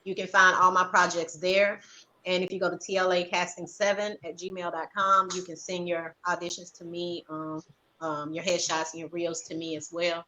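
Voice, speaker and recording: A woman's voice: on the low side, flat delivery, moderate pace, bright, forceful tone. A woman guest chatting casually on an online call with some background noise. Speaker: guest, stage play writer-director. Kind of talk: casual chat. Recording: noisy online call with room ambience.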